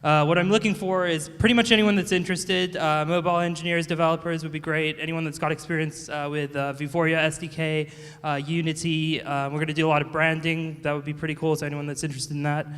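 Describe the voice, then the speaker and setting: A man with a smooth, slightly higher voice pitches his idea casually, with easygoing fillers. A long hall reverb trails his words. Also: fast talker. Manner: relaxed and informal, many fillers. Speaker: startup pitcher.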